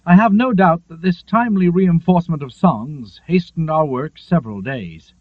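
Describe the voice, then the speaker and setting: A man reading a literary seafaring memoir as an audiobook, in a measured, expressive storytelling manner. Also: fairly high pitch, no room sound. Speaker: audiobook narrator. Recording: narrow phone-like lo-fi sound.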